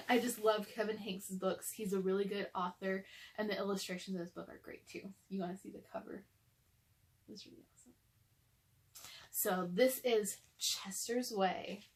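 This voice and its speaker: A woman's voice: mid-range voice, steady tone, moderate pace, pauses often. A woman reading a children's story aloud, narrating and speaking directly to young listeners. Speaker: storybook reader.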